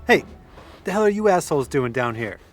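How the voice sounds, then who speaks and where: A man's voice: fairly high pitch, big pitch swings, a little fast, soft background music. An actor playing a brash, take-charge young man in an audio drama, talking in a casual, coarse way. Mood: brash, teasing.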